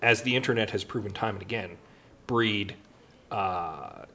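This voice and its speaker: A man co-hosting a podcast in casual chat, with a dry, wry manner and measured phrasing. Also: on the low side, bright, forceful tone. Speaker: podcast co-host.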